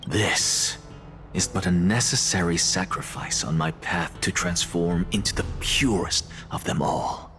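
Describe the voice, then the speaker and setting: A cool, deep-voiced scholar. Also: male voice, unhurried, faint music behind, full character voice. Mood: coolly defiant. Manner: calm, cool and lecturing. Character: aloof, ambitious male scholar seeking godhood.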